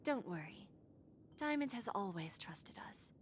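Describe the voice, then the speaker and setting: An actress playing a poised, enigmatic pawnshop boss in a video game, with a breathy, silky, unhurried delivery. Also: female voice, medium pitch, big pitch swings, noisy background. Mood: calm, knowing. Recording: loud music under the voice.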